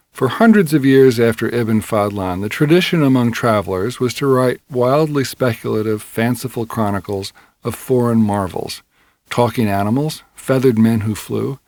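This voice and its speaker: A man reading a scripted scholarly introduction in a measured, formal, articulate manner. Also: mid-range voice, darkish tone, no room sound. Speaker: audiobook reader of an introduction.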